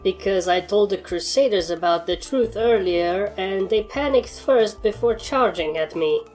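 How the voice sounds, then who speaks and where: A world-weary alien living as a pony, acted out by a woman in an audio fan fiction. The delivery is dry, deadpan and matter-of-fact, at a middling pitch. Music plays underneath. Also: a little slow, lightly acted, low-quality recording. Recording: music behind the voice.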